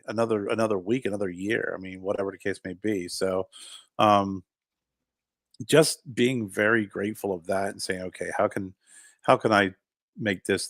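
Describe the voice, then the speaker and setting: Reflective low-voiced man in his fifties. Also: vocal fry, a bit bright, no echo. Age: 50s. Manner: rambling, restarts and trails off, reflective. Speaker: podcast co-host. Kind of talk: casual chat.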